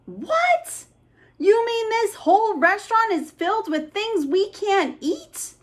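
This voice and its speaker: A woman's voice: very high voice, very animated, slow, pitched above the performer's own voice, heavily acted. A woman voicing a grumpy, hungry many-headed monster in a children's storybook, blunt and exclamatory. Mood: indignant, menacing.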